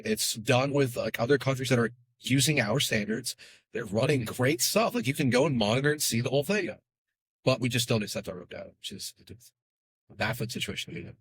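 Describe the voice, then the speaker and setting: A man speaking as a guest in a casual podcast interview, explaining in a rapid, animated way with quick asides. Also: medium pitch, slightly rough, no room sound, rough recording.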